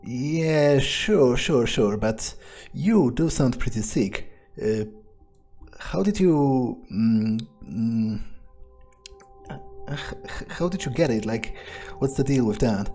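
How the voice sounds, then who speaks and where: A man's voice: medium pitch, expressive, slow pace, a little mellow. A man playing a detached, blunt vampire investigator, musing hesitantly in character during a video game playthrough. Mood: hesitant, feigned concern. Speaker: game player narrating in character. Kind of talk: improvised in-character playthrough, thinking aloud.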